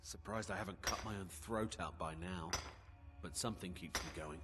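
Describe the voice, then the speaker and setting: Man playing a bitter, low-voiced rebel convict. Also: moderate pace, over loud music, close to an everyday voice. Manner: curt, threatening, bitter. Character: hard, bitter, suspicious male rebel prisoner. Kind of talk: fantasy video game dialogue. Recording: loud noise, clinking game ambience.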